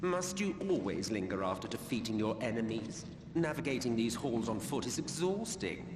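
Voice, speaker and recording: A man's voice: mid-range voice, expressive, normal speed, echoey room, long reverb tail, background noise, natural voice. A man playing a bored, haughty, scheming villain in a fantasy video game, languid and mocking. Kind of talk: dramatic video game cutscene acting.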